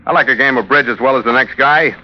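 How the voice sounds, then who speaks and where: A man's voice: medium pitch, fast, natural voice. A man playing a cool, wisecracking ship's master who tells his own story in a dry, hardboiled old radio drama style. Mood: cool, defiant. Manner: dry, wry, laconic. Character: Phil Kearney, cool wisecracking ship's master, first-person narrator. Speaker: lead actor and narrator. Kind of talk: hardboiled old-time radio adventure acting. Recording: muffled old radio recording with music.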